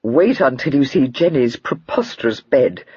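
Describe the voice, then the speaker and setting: A woman reading aloud, voicing a fond, teasing young gentleman in a breathy voice near her own. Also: a bass voice, sing-song, normal speed, dry recording, muffled recording, full character voice.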